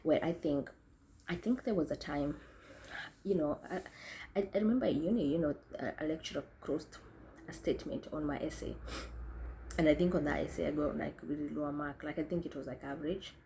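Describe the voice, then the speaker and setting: Low, mellow-voiced young woman from Kenya. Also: brisk, creaky, a little hiss or hum, poor audio. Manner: thoughtful, self-correcting, frequent restarts and fillers. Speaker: speaker sharing experiences. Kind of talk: reflective talk to camera.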